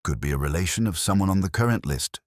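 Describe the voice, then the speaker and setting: A man's voice: low voice, animated, fast talker, no room sound, clean studio sound. A man voicing a detective's thoughtful, earnest companion in an audio story, speaking somewhat tentatively. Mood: earnest, surmising.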